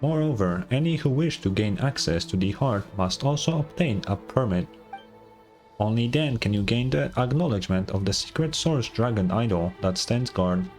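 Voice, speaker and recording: A man voicing a huge ancient dragon robot record-keeper from a game, formal, measured and helpful. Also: mid-range voice, animated, darkish tone, soft background music, natural voice. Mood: polite, matter-of-fact. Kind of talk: reading game dialogue aloud.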